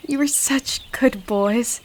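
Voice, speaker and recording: Woman acting as a high-voiced grieving mother. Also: extremely slow, slight background noise. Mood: wistful grief. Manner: emotional, pointed. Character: grieving, wounded mother of a dead son. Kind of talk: online game roleplay voice acting.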